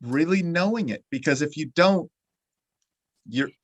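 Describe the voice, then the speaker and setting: A man's voice: a little high, lively intonation, a little slow, no echo. A man hosting a podcast interview, talking in a loose, rambling way full of restarts and "uh". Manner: rambling and repeats his words, many "uh" restarts. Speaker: podcast host. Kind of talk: conversational interview.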